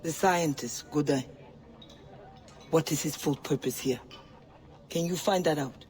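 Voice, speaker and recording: An actor playing a proud, wary spy in an island resistance, speaking in a cool, clipped, guarded way. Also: female voice, sits low, a little slow, a little mellow. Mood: businesslike. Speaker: game character. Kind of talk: video game cutscene acting. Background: game score.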